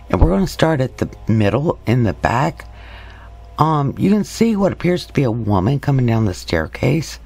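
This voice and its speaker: A man narrating over a series of photos as a paranormal investigator, in a slow, halting way with pauses and restarts. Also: mid-range voice, gravelly, darkish tone, husky.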